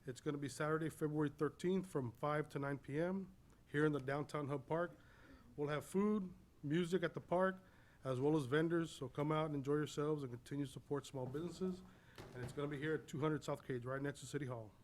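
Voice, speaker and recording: A man's voice: on the high side, steady tone, a little fast. A man speaking as a city official, delivering a report at a public meeting. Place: city commission meeting room.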